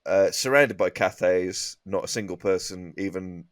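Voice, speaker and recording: A man telling comic anecdotes as a podcast guest in a halting, rambling way. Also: deep voice, no echo, studio quality.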